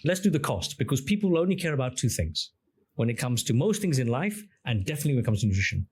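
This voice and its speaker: A man's voice: medium pitch, very animated, fast talker, a little mellow, a little hiss or hum. A middle-aged man who grew up speaking Greek, talking as a podcast guest and explaining his ideas at length with questions and examples. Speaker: podcast guest, engineer and app founder. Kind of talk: conversational interview.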